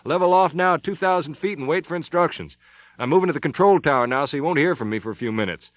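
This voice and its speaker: A man's voice: on the high side, animated, quick pace, dry recording, pitched above the performer's own voice, natural voice. A man voicing a commanding airline captain who gives step-by-step radio instructions. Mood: coaching. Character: middle-aged airline captain, firm and commanding. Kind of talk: audiobook character voice.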